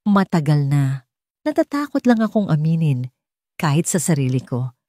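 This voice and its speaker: A woman's voice: sits low, sing-song, normal speed, mellow, no echo. Woman as humble Filipino village woman, shy, emotional, trembling, romance audiobook, dry sound. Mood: tearful, tender.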